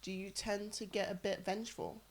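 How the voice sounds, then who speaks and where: A woman's voice: mid-range voice, little pitch movement, normal speed. Laughing woman, probing questions. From Forest Gate, East London. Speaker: guest, astrology reader. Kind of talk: casual podcast chat.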